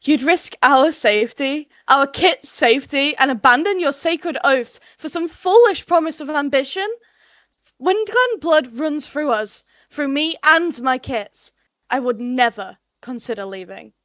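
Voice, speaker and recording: A woman's voice: high-pitched, lively intonation, measured pace, fairly bright tone, no room sound. A woman voicing a proud, protective mother cat with an intense, hissing, emphatic manner in an animal roleplay story. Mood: angry, defiant.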